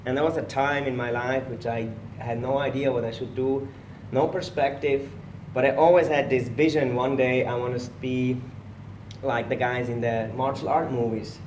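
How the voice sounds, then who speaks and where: A man's voice: mid-range voice, normal speed, distant mic, a little hiss or hum. Man telling his story, roomy sound. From Switzerland. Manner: relaxed storytelling, slightly non-native phrasing. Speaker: guest, personal trainer. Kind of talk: casual podcast interview. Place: gym.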